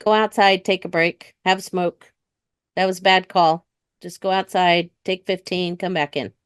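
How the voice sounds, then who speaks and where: A woman's voice: fairly low pitch, steady tone, normal speed, clear, resonant tone, dry recording, studio quality. A woman in her fifties with an American accent, talking candidly and casually as a guest in an interview. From United States. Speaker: interview guest, retired police dispatcher.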